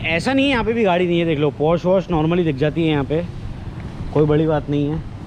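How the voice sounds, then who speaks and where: A man's voice: fairly high pitch, a little fast, darkish tone. A man from India with an Indian accent, a travel vlogger chatting casually to camera and mixing English words into his talk. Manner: colloquial, mixes English words into Hindi. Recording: noisy outdoor vlog audio with traffic.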